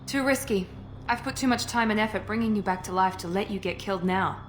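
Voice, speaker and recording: An actress playing a cold, confident, ruthless project director in a sci-fi video game, with a clipped, dismissive manner. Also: female voice, medium pitch, fast talker, slight background noise, natural voice. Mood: smug, curt. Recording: music behind the voice.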